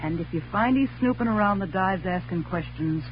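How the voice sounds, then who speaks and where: An actress playing a tough, sultry, scheming gangster's moll with American slang in 1940s radio crime drama style. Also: female voice, medium pitch, lively intonation, a little fast, lightly acted. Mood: bossy. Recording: muffled, noisy old radio recording with hum.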